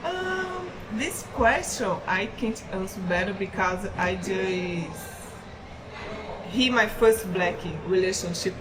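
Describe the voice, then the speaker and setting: A Brazilian woman speaking halting broken English with a Brazilian Portuguese accent, a native Portuguese speaker. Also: medium pitch, animated. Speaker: guest's wife. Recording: echoey room with background noise.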